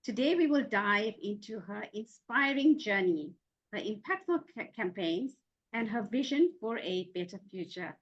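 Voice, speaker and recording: A woman's voice: medium pitch, animated, normal speed. A woman hosting an interview show, introducing her guest in an upbeat, polished way. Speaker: show host. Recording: slightly dull lo-fi sound.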